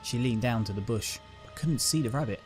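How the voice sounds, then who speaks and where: A man's voice: medium pitch, fairly quick, soft background music. A man narrating a dramatic scripted story as its reader.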